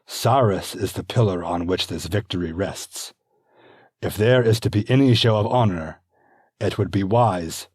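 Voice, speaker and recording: A man's voice: low-pitched, measured pace, darkish tone, breathy edge, no room sound, clean studio sound, pitched above the performer's own voice. A man voicing an earnest, anxious Roman general who speaks with urgency. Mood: urgent.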